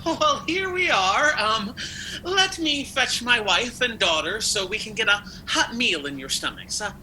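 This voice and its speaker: A woman's voice: a little high, lively intonation, a little slow. A woman playing an anxious, humbly polite householder in a radio play, hesitant and deferential. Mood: anxiously polite. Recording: noisy home video-call recording.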